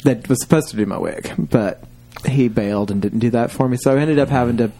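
A man's voice: medium pitch, brisk, background noise. A man co-hosting a podcast, chatting casually in a campy, jokey, giggly way. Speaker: podcast co-host. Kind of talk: casual comedic chat.

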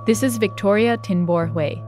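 A woman narrating a scripted history explainer in a conversational, brisk way. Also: mid-range voice, over music. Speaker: video host and narrator.

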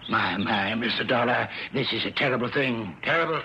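An actor playing a folksy, humble old American fishing guide captain in a 1960s radio drama. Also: male voice, medium pitch, normal speed, sharp tone, faint music behind. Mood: shaken, subdued. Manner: folksy, rustic turns of phrase. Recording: muffled, noisy vintage radio recording.

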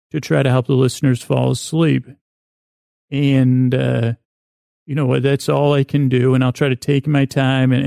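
Guy doing a sleep podcast, super mellow and creaky, rambles and trails off and restarts, soothing bedtime talk. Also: mid-range voice, no room sound, clean studio sound. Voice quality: gravelly, creaky. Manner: meandering, halting, self-interrupting, repeats words.

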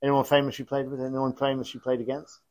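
A man's voice: mid-range voice, completely flat delivery, quick pace, darkish tone, no room sound, rough recording. A man hosting a casual podcast interview, chatty and rambling, thinking aloud as he goes. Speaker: club podcast host.

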